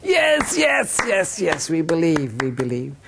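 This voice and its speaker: Man putting on high, excited crowd onlookers. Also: slow, vocal fry, noisy background, full character voice. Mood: excited, eager. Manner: eager, exclamatory, repeating words.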